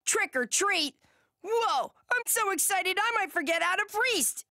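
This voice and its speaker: Squeaky bright bubbly fantasy girl. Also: animated, a little slow, no room sound, studio quality, exaggerated voice. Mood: excited.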